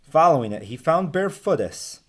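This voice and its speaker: A young man reading a children's storybook aloud in an expressive, deliberate way. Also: a little high. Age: young adult. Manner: animated, deliberate, asks listeners questions. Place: small room with bookshelves.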